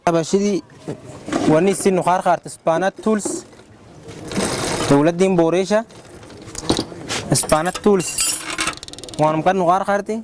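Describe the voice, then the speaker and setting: Male interviewee, high-pitched voice, recorded amid clear workshop noise and clatter. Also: steady tone, unhurried, pauses often. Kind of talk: interview testimonial. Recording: noisy clattering workshop location.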